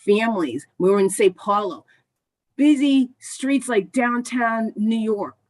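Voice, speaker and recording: A woman's voice: fairly high pitch, lively intonation, measured pace. A woman telling a personal travel story in a casual talk show chat, earnest and reflective. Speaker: co-host.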